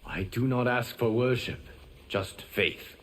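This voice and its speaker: An actor playing a calm, wise godlike guardian in a video game, speaking in measured, formal lines. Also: male voice, mid-range voice, a little hiss or hum, natural voice. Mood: solemn, assured. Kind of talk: dramatic video game dialogue.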